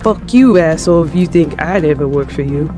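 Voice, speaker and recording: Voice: mid-range voice, brisk, over music, lightly acted. An actor playing a defiant, brash female wrestling performer in an audio drama. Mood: angry defiance.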